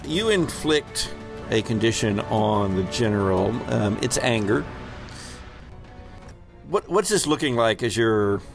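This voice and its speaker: A man hosting a tabletop game as game master, improvising descriptive, dramatic narration in a halting way. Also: fairly low pitch, animated, vocal fry, music in the background.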